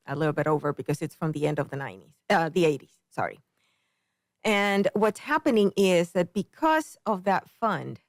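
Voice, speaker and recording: A middle-aged woman from the Dominican Republic answers interview questions in an earnest, careful way, pausing often on ums. Her voice sits on the low side and sounds close and dry. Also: creaky, no room sound. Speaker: political candidate, guest.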